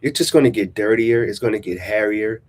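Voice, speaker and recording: Nasal, low-voiced man coaching casually. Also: fairly quick. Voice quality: nasal from congestion. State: congested, recovering from a sinus infection. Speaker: relationship coach hosting a vlog. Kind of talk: casual motivational chat, reading out a subscriber email. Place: small-town coffee shop.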